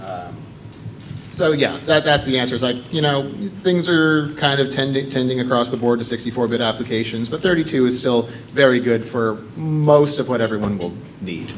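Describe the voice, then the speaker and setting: A man's voice: mid-range voice, animated, moderate pace, slight background noise, rough recording. An American man speaking on a convention panel, talkative and explanatory, with frequent fillers. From United States.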